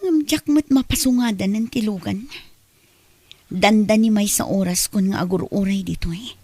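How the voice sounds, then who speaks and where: A woman's voice: medium pitch, normal speed, mellow, natural voice. A woman playing a troubled, guilt-ridden wife who longs for a child in an Ilocano radio drama. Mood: anxious.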